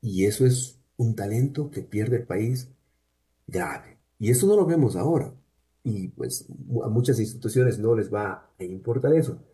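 A man with an accent from Cuenca, Ecuador, a university researcher explaining things at a measured pace with frequent pauses as a guest in an interview. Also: lowish voice, lively intonation, warm tone. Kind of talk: conversational interview answers.

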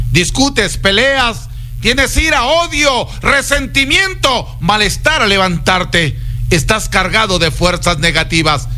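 A Peruvian man hosting his own radio programme as a folk healer, addressing listeners in an emphatic sales pitch built from incantatory lists. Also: pushed and bright, slight background noise. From Peru.

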